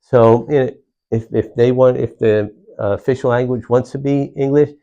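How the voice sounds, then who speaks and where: A man's voice: mid-range voice, steady tone, normal speed, dark tone, highs cut off. A middle-aged man from Puerto Rico, a county commissioner answering interview questions earnestly, often restarting his phrases with "uh". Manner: earnest, repeats words and restarts with many "uh"s.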